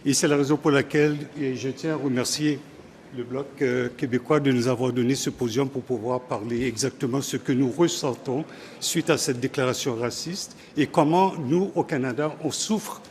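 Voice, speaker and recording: A man's voice: mid-range voice, steady tone, normal speed, darkish tone, slight background noise. A man from Haiti, a community leader, giving an earnest statement at a press conference.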